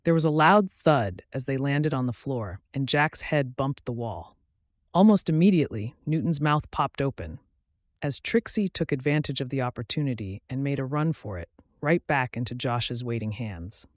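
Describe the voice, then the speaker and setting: A man's voice: mid-range voice, animated, moderate pace, dry recording, studio quality. A man reading a story aloud as scripted audiobook narration.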